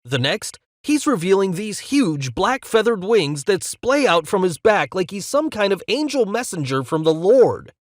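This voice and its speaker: A man acts as a news host in an audio drama, with a high voice that swoops theatrically. The delivery is emphatic and full of wonder, recorded close and dry. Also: big pitch swings, a little slow, few pauses, no echo. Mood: marvelling. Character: excitable male studio news host. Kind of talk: broadcast presenter acting in audio drama.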